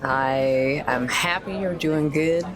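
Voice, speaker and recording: Woman, low pitch, tabletop player, casual rambling delivery, jokey, background voices. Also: takes it slowly, a crowd in the background. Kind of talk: casual in-character and descriptive game chat.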